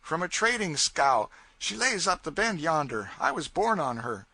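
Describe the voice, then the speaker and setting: A frightened young boy caught stowing away pleads politely, his voice pitched up and lively, as a man puts him on in a storybook reading. The tone is bright and a touch husky, and the recording is close and dry. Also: normal speed, exaggerated voice. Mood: pleading. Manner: timid, polite, eager to please.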